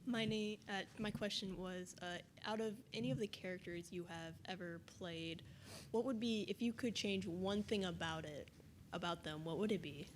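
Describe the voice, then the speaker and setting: A male audience member asking a question in a hesitant, halting way. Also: high-pitched, a little hiss or hum.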